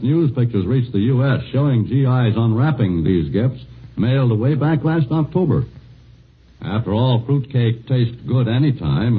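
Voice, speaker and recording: A man narrating a scripted wartime radio broadcast as announcer, with a measured, expressive newsreel delivery. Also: mid-range voice, husky. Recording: tinny 1940s radio broadcast.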